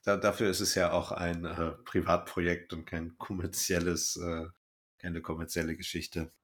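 A man with a fairly low voice talks informally as the co-host of a podcast. He feels his way through his sentences, rambling a little and often leaving a thought unfinished. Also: flat delivery, normal speed, a bit bright. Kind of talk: casual podcast chat reviewing an audio drama.

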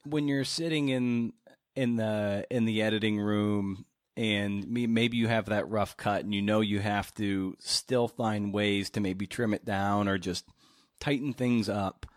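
A man hosting a podcast interview in a casual conversational style, feeling his way through questions with searching pauses. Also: fairly low pitch, steady tone, no echo, clean studio sound. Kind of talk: casual long-form interview.

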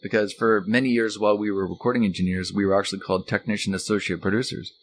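A man's voice: on the low side, level tone, fast, slight background noise. A middle-aged or older man chatting casually as a co-host, rambling and repeating words as he goes. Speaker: co-host, author and former radio recording engineer. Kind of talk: casual unscripted conversation.

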